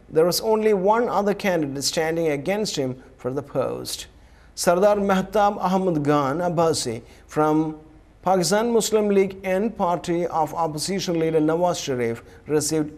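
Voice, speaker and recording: A man with an Indian English accent reading scripted news in a formal, measured way, letting his sentences fall at the end. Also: high voice, a little mellow. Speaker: news reader.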